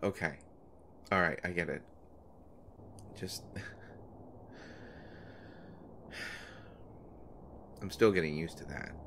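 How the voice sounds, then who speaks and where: A man's voice: low-pitched, extremely slow, gravelly, pauses often, full character voice. A man playing an anxious, devoted boyfriend of a pregnant woman in an intimate roleplay audio, speaking in a hesitant, stammering way. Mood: playful, flustered. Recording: noticeable background noise.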